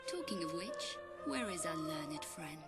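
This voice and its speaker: A poised, sardonic British adventuress from a video game. Her taunts are dry but lilt widely in pitch, over loud music. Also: animated, measured pace. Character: cool, sardonic young adventuress. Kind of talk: video game cutscene acting.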